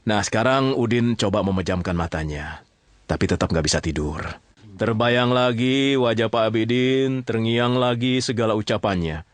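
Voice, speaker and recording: A man's voice: fairly low pitch, expressive, moderate pace, no echo. A man with an Indonesian accent telling a story informally, often checking in with his listeners. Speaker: storyteller narrator addressing listeners.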